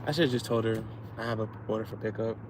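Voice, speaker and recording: A man's voice: lowish voice, fairly even intonation, fast talker, background noise. A man chatting casually on a livestream from his car, laid-back with mumbled asides and hyped bursts. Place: in a car at a drive-through.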